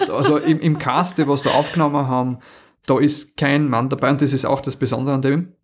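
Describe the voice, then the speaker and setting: A man's voice: mid-range voice, fast, breathy edge. A man, co-hosting a podcast in casual chat, laughing often as he recounts a story. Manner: lively, laughs often, restarts sentences. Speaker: podcast co-host. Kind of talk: casual chat about audio dramas.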